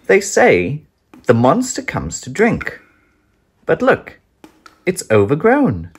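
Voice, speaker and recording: Slow, highly animated children's storybook narrator. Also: husky. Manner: dramatic, suspenseful, deliberate phrasing. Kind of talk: expressive children's read-aloud storytelling.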